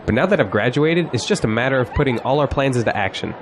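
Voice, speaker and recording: Man playing cocky young graduate, very animated. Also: mid-range voice, quick pace, a little hiss or hum, natural voice. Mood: confident. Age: young adult. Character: cocky, exuberant young male college graduate, polite to elders. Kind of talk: audio drama acting.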